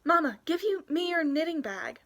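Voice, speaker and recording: A woman's voice: extremely high pitch, big pitch swings, normal speed, fairly bright tone, pitched above the performer's own voice, exaggerated voice. A woman voicing an eager, bossy young girl who calls out urgently in a storybook. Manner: urgent, calling out.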